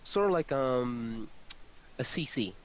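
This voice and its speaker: A man's voice: mid-range voice, animated, takes it slowly, no echo, poor audio. A man chatting casually as he directs and edits voice recordings, speaking informally and often saying "like". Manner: informal, swearing, often says "like". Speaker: voice-over director and audio editor. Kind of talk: casual collaborative work chat.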